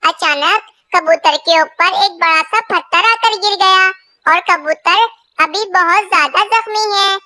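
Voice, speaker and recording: A performer voicing a gleeful lying male crow with a squeaky childlike voice in a children's cartoon story. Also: a little slow, bright, forceful tone, no echo, heavily acted. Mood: scheming. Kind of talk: children's animated story dialogue.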